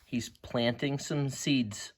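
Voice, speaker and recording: Man, lesson co-presenter. Casual, plain-spoken explanations for children, mid-range pitch. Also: fairly even intonation, slow.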